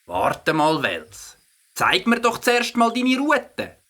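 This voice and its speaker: A woman playing an eager, helpful young boy with a slightly raspy voice and a Swiss German accent in a children's audio play. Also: a little high, huge pitch swings, slow pace, full character voice. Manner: bright, eager.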